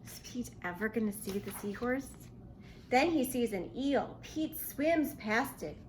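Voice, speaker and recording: A woman reading a picture book aloud to young children in an expressive, questioning way. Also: on the high side, a little slow, slight background noise, rough recording. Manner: expressive, asks the listener questions. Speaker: children's storybook reader.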